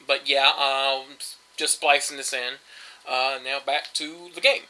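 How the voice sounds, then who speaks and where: A man's voice: medium pitch, steady tone, a little slow, sharp tone, a little hiss or hum, low-quality recording. A man casually commentating a game he is playing, rambling and offhand with lots of fillers. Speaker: let's play host.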